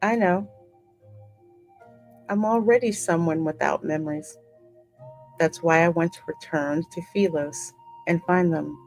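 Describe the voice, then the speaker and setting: A woman hosting a gaming channel, reading game dialogue aloud in an expressive, theatrical way with casual reactions. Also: lowish voice, unhurried, long pauses. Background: game music.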